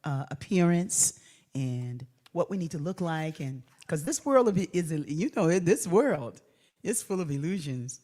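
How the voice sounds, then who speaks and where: A woman hosting a spiritual conversation, speaking in an animated, affirming way that often turns playful. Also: sits low, a little slow. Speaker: host, singer and spiritual leader. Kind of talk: casual spiritual chat.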